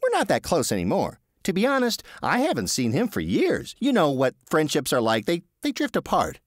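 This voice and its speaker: A man doing a flustered, high-voiced fibber. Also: wildly swooping pitch, normal speed, no room sound, studio quality. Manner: fumbling, overly polite. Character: nervous, evasive man bluffing his way through.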